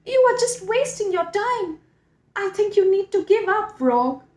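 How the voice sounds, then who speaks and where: Woman as a high-pitched motherly storybook lyre bird. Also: big pitch swings, a little slow, a little mellow, exaggerated voice. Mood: doubtful advising. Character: gentle, sensible female lyre bird mentor. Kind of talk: children's storybook character voice. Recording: echoey room.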